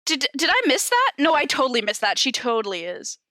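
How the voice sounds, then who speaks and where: A woman's voice: sits high, sing-song, brisk, bright, forceful tone, dry recording, studio quality. A woman co-hosting a podcast, giggly and exclamatory as she chats and reads fanfiction aloud. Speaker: podcast co-host. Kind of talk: casual chat and giggly fanfiction read-aloud.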